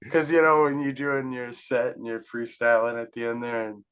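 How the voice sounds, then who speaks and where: A man's voice: medium pitch, level tone, moderate pace, crowd noise. A man, a rapper, chatting on a podcast in an easygoing way, often chuckling along. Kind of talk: casual podcast chat.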